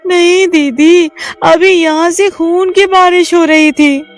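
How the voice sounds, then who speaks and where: A woman voicing a young village woman in a cartoon who panics easily and wails in a shrill voice. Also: very animated, slow pace, a little mellow, full character voice. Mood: insistent. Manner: shrill and wailing, easily frightened. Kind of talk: cartoon voice acting.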